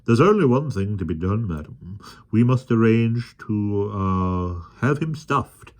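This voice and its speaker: A man's voice: lowish voice, animated, unhurried, darkish tone. A man doing a bumbling old professor. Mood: pedantic. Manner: mumbling, hesitant, with ums and throat-clearing. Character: absent-minded, bumbling elderly male professor.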